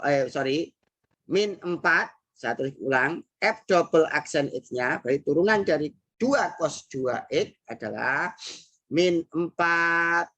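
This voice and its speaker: An Indonesian woman teaches math at an unhurried pace. Her voice sits on the lower side and moves up and down freely as she repeats key steps. She fills the pauses with thoughtful hums. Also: a bit bright. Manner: patient, repeats key phrases, frequent "nah" and "hmm" while working problems. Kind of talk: recorded classroom math lesson.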